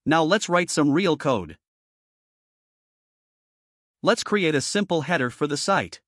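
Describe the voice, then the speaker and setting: Male tutorial narrator, slightly high-pitched, scripted instructional read, very dry sound. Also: expressive, takes it slowly, a bit bright, no room sound. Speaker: programming tutorial presenter.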